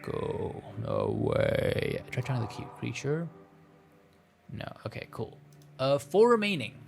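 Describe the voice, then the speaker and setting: A man's voice: medium pitch, very animated, normal speed, creaky. A man hosting a gameplay video, reading a visual novel aloud with playful, self-mocking commentary and stumbles over the text. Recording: music playing behind the voice. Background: music.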